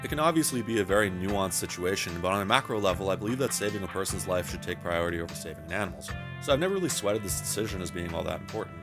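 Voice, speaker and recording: A man's voice: low voice, lively intonation, rapid-fire, few pauses, over music. A man reading a scripted game review in a wry, wordy, casually profane way.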